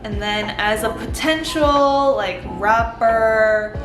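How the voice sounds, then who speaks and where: A woman's voice: fairly high pitch, takes it slowly. Chatty young woman, roomy echo. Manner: chatty, enthusiastic, lots of "like". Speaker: vlogger reviewing a TV show. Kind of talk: casual chat. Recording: roomy echo with background music. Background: soft background music.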